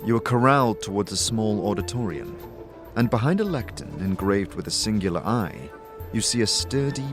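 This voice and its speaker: Male narrator for fantasy audio drama, low and expressive, faint music underneath. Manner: dramatic storytelling. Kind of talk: scripted second-person fantasy narration.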